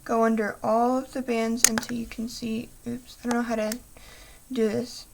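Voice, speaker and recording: A young woman hosting a craft tutorial, soft-spoken and apologetic, talking through each step while losing her voice. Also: fairly high pitch, little pitch movement, normal speed, vocal fry, background noise. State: losing her voice, clearing throat.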